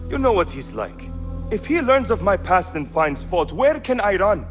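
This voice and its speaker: An actor playing a nervous male official who talks in an anxious, hushed way. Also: sits high, sing-song, normal speed. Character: nervous male official, fearful of his master. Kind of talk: video game cutscene acting. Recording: game audio with loud music.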